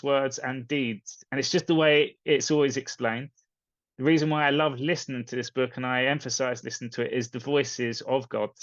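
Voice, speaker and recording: A man's voice: mid-range voice, a bit bright, no room sound. Male coach, casual and earnest, emphatic short phrasing; dry, slightly dull sound. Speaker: spiritual coach and video host. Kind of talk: casual book recommendation talk to viewers.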